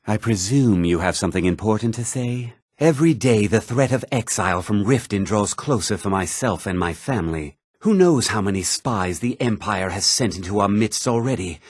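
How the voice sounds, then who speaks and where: Zealous noble heir, low voice, haughty, stern, lively intonation, fantasy game character. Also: male voice, moderate pace, hardly any pauses, slightly rough, no room sound, clean studio sound. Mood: guarded, stern. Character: haughty, indignant young nobleman, jarl's zealous son. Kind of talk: video game character lines.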